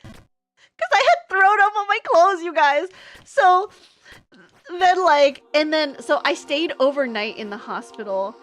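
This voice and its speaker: A woman's voice: sits high, lively intonation, unhurried, fairly bright tone. A young American woman streamer telling a story in a casual, rambling way, with many fillers and restarts. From United States. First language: English.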